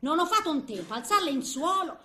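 A woman's voice: very high voice, very animated, brisk, pushed and bright, heavily acted. An actress playing a cantankerous eighty-year-old woman who grumbles in colloquial, speech in a comic stage monologue. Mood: indignant grumbling. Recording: roomy echo, faint background noise.

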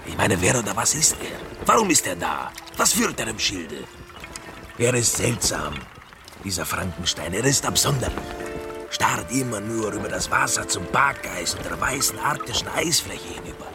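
An actor playing a superstitious, frightened sailor in a radio drama, muttering with agitation. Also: male voice, fairly low pitch, sing-song, normal speed, over music. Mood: suspicious, fearful. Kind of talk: German radio drama acting.

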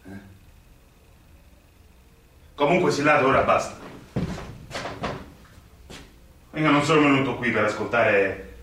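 An actor playing a stern, indignant young magistrate in a radio play, with an emphatic, commanding delivery. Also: male voice, mid-range voice, fairly bright tone. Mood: reproachful, wistful, then resolute. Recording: very echoey, distant, faintly noisy recording.

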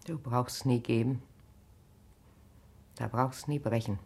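Slow, low-voiced, wronged country wife. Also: female voice, fairly even intonation, warm tone, slightly rough, full character voice. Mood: cold, resigned. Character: weary, bitterly wronged middle-aged country wife, resigned yet proud. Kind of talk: naturalistic German radio drama acting.

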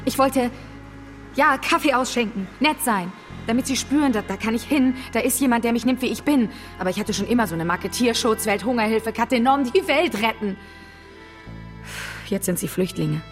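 A woman's voice: a little high, animated, soft background music, close to an everyday voice. Actress as weary, frustrated confiding woman. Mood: bitter, confiding. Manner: confiding, halting, self-critical. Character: weary, frustrated, self-critical café woman. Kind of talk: naturalistic German radio drama acting.

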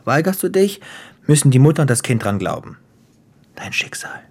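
An actor playing a menacing, sardonic gangster boss in a radio drama, taunting and playful, slipping into breathy whispers. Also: male voice, mid-range voice, very animated, moderate pace. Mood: taunting threat. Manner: taunting, theatrical, dropping into whispers. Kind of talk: German radio drama acting.

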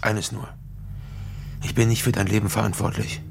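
An actor playing a combative middle-aged politician in a naturalistic radio crime drama, husky and gravelly, blunt, scornful and condescending. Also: male voice, lowish voice, level tone, moderate pace, noisy background. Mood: angry, condescending. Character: combative, arrogant middle-aged male politician, scornful, cornered yet defiant.

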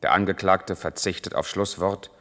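An actor playing a brooding, torn intellectual lover in a measured, serious German radio play style. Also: male voice, deep voice, fairly even intonation, sharp tone. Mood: sober, measured. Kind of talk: 1980s German radio play acting, measured narration and intimate dialogue. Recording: long reverb, music in the mix.

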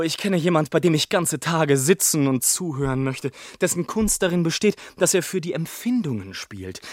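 A man playing a whimsical, talkative old-world gentleman in a German radio play, playful and rhetorical in delivery. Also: fairly high pitch, no room sound, studio quality. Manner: playful, rhetorical, mimics instrument sounds. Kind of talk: theatrical radio play monologue, literary German.

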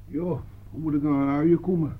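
An actor playing an earthy, plain-spoken front-line soldier in a Dutch radio war drama. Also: male voice, a little high, fairly even intonation, moderate pace, natural voice. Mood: grumbling. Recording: dull old radio recording, faint noise.

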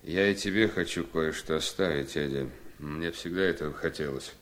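An actor playing an ailing, gentle but determined wealthy gentleman in a radio play. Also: rumbling low, normal speed. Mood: quiet, resolute. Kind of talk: radio play acting in Russian. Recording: 1970s studio radio drama.

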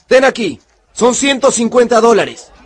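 A man's voice: very high voice, sing-song, measured pace, breathy edge, a faint crowd, exaggerated voice. A man playing a principled, kind but firm miner in a radio drama, earnest and commanding. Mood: firm, scornful. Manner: earnest, commanding yet compassionate.

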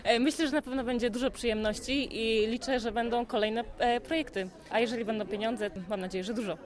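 A woman giving polite interview answers, careful and slightly formal, with hesitant pauses. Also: a little high, steady tone. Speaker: audience member being interviewed. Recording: busy crowd behind the voice. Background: crowd chatter.

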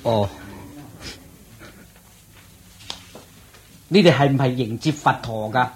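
An actor playing a devoted, anxious disciple in a Cantonese radio drama, with a Hong Kong accent and an earnest, deferential, breathy delivery. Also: male voice, medium pitch, brisk, over a noisy crowd, natural voice. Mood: respectful.

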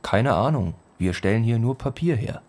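An actor playing a brooding village man, narrating a radio play in measured, fragmentary, poetic phrases. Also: medium pitch, animated, a little mellow, close to an everyday voice. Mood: brooding, wry. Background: music.

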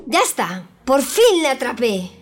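Adventurous boy hero voiced by a woman: very high pitch, bold, theatrical, children's fairy-tale radio play. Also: sing-song, measured pace, over music. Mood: eager. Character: brave, boastful, adventurous young boy hero who never grows up. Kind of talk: children's audio drama acting.

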